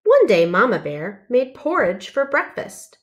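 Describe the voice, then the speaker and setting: A woman's voice: fairly high pitch, very animated, a little slow, lo-fi sound. A woman narrating a children's storybook, reading aloud carefully and expressively.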